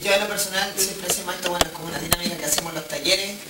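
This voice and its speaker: A man's voice: high voice, fairly even intonation, racing, far from the mic. A young Chilean man from Santiago, a rapper and workshop leader, explaining a round of introductions in a casual, slangy way. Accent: Chilean Spanish. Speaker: hip hop workshop leader. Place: community hall indoors. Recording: echoey hiss-heavy 1990s camcorder tape from afar.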